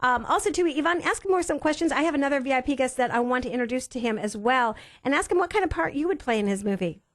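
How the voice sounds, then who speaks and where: High-voiced, upbeat female radio host. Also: animated, quick pace. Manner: upbeat, effusive, laughs easily. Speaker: radio talk show host. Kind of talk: enthusiastic talk radio hosting. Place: radio studio.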